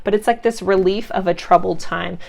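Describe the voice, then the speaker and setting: A woman reading tarot cards for her viewers in a loose, chatty way, full of fillers and reassurance. Her voice sounds congested and cracks now and then from sinus drainage, and it sits at an ordinary middle pitch. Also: steady tone, fairly quick, creaky. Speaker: tarot reader. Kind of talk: casual pick-a-card tarot reading.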